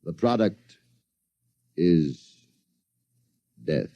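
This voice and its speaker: An actor playing a coldly polite, menacing Martian invasion mastermind in a 1950s radio drama, with suave, deliberate, mock-courteous speech. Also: male voice, sits low, sing-song, no echo, dull audio, heavily acted. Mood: suavely gloating.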